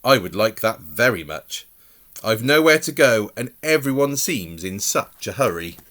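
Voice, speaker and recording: Man voicing a small, polite storybook bear, lively. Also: fairly low pitch, measured pace. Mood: eager, wistful. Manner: courteous, simple, earnest. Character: small, polite, earnest young bear stowaway.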